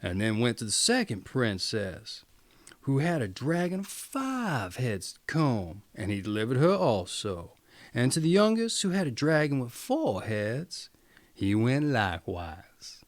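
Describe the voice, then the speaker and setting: A man narrates a storybook fairy tale in a theatrical, emphatic way. His pitch is mid-range but swings widely from phrase to phrase. The sound is close and dry, with no room around the voice. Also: huge pitch swings, unhurried, dry recording. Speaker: storyteller reading fairy tales.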